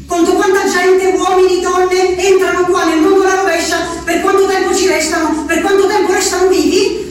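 A woman's voice: very high-pitched, flat delivery, a little fast. An actress playing a restless peasant wife shut in an asylum, telling her story in an intimate, obsessive, repetitive way with an Abruzzo Italian accent, as a theatrical radio monologue. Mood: anxious, earnest. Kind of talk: theatrical radio drama monologue, reciting a letter. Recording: roomy, distant, noisy radio-theatre recording.

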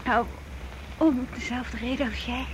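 Actress as high-voiced nervous female accomplice, noisy recording. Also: steady tone, a little slow, natural voice. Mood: uneasy, intimate. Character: nervous, excited female accomplice on a night job. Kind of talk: naturalistic radio drama acting. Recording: noisy radio drama recording.

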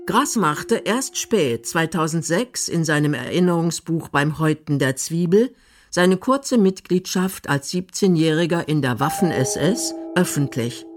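Casting: female radio documentary narrator, low register, measured delivery over clear background music. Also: lively intonation.

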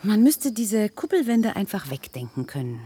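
A woman's voice: medium pitch, very animated, moderate pace, warm tone, husky. A woman playing a curious park visitor in a naturalistic radio play, inquisitive and conversational.

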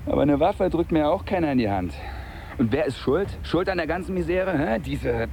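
An actor playing a bitter, foul-mouthed jobless labourer in a radio drama, grumbling cynically and coarsely. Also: male voice, a little high, expressive, normal speed, vocal fry, a little mellow, a little hiss or hum. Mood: disgusted, bitter. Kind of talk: naturalistic radio drama dialogue.

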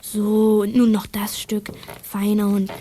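A woman's voice: mid-range voice, completely flat delivery, slow, mellow, natural voice. A female performer playing a plucky, cheeky thirteen-year-old girl detective in a youth radio play. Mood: coaxing. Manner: spirited, cheeky. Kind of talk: 1980s German youth radio play acting. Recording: noisy old audio drama recording.

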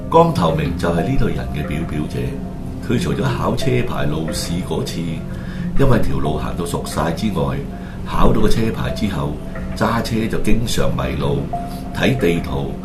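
A man tells a radio drama story in colloquial Hong Kong Cantonese style. His voice is deep and sounds roomy and echoing, with loud music running behind it. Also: lively intonation, normal speed.

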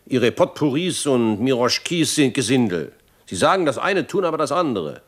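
An actor playing an argumentative, exasperated old gentleman in a German radio drama. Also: medium pitch, sing-song, moderate pace. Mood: indignant. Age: older. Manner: exasperated, quibbling.

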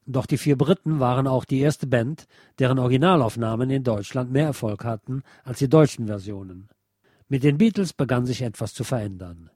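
Mellow male narrator, close dry sound. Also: mid-range voice, brisk, dry recording. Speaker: presenter reading a radio essay. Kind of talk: scripted narration, lecture-like.

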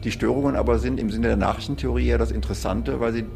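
Very gravelly man explaining, music behind. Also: mid-range voice, steady tone, darkish tone. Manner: halting, searching for words. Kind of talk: explanatory talk on theory.

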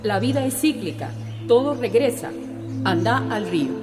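A woman's voice: a little high, expressive, moderate pace, close to an everyday voice. An actress playing a soothing, otherworldly female earth spirit in a radio drama. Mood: calm, prophetic. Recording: long reverb with music behind.

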